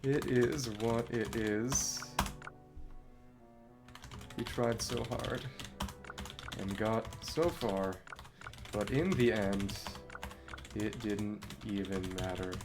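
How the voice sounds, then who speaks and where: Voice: medium pitch, very slow, pauses often, music in the background. A game streamer chatting casually over gameplay, thinking aloud in a wry way. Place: indoors at a computer.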